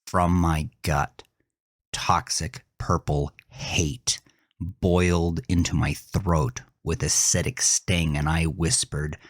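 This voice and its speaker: A man narrating a first-person horror story with intense, deliberate, theatrical delivery and a husky voice. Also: extremely low pitch, little pitch movement, no room sound, studio quality.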